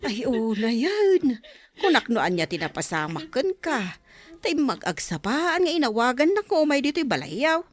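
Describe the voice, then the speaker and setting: Woman acting a concerned, very expressive mother. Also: a little high, a little slow. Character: concerned, sympathetic mother of a betrayed daughter. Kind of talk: melodramatic radio-style drama acting.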